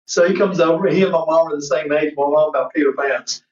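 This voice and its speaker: A man in his fifties, a guest who hosts an entertainment show, telling rambling, excited stories in casual chat over a video call. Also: on the high side, fast. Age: 50s. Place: indoor room. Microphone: far camera on a video call. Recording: roomy, distant, muffled video-call audio.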